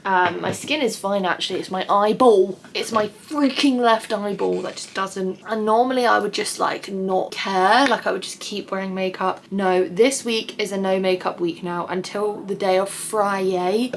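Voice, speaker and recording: A young woman with an English accent rambles through her week in a slightly whiny, self-mocking voice, swearing freely. She speaks at a mid pitch into a close phone or camera in her bedroom. A faint room hum sits behind her. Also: normal speed, few pauses. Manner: chatty, rambling, swears casually, self-deprecating. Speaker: vlogger. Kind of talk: casual vlog chat. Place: bedroom at home.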